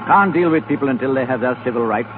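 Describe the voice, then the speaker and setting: An actor playing an older English lord, stubborn and dismissive in a 1930s radio drama. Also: male voice, mid-range voice, fast, lightly acted. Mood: dismissive, assured. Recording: tinny, very noisy 1930s radio.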